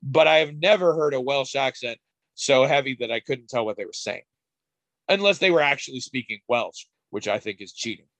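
A man's voice: mid-range voice, moderate pace, a bit bright, no room sound, poor audio. Rambling podcast guy, swears a bit, mid voice, dead dry sound. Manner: rambling, candid, swears casually. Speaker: podcast co-host. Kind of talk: casual chat.

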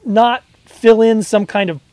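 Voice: mid-range voice, unhurried, mellow, no echo. Co-host about to turn fifty, hesitant and rambling, dry close-miked. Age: 40s. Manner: rambling, hesitant, many fillers and restarts. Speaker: podcast co-host. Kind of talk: casual chat.

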